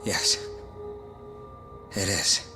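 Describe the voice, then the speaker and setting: An actor playing a frightened male witness in a courtroom game drama, speaking hesitantly and haltingly. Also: over music. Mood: uneasy. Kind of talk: English video game dub acting.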